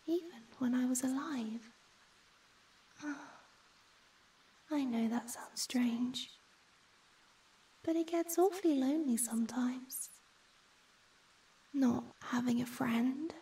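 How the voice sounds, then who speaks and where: Woman playing a very slow, whispery shy ghost girl. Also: high voice, distant mic, poor audio. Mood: shy, wistful. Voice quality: soft, breathy, often whispering. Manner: slow, hesitant, tender. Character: shy, timid, gentle young ghost girl. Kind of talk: ASMR comfort roleplay.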